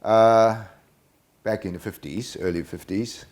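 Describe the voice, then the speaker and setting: An older man in a very deep voice. He answers unhurriedly and hesitantly, filling his pauses with many "uh"s. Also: fairly even intonation, a little mellow. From Hong Kong. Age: about 70. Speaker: guest. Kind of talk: casual interview answers.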